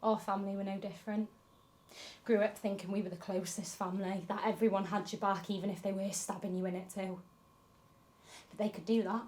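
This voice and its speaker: A woman's voice: medium pitch, little pitch movement, a little fast, a little mellow, close to an everyday voice. A woman playing a grieving granddaughter, reflecting confessionally on her fractured family in a film monologue. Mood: wry, reflective. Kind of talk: dramatic monologue in a short film.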